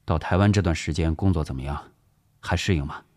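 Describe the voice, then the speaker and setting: A composed middle-aged officer, voiced by a male narrator speaks in an audiobook drama. The voice sits low and soft, husky in texture. He sounds measured, steady and in quiet command. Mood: mildly curious.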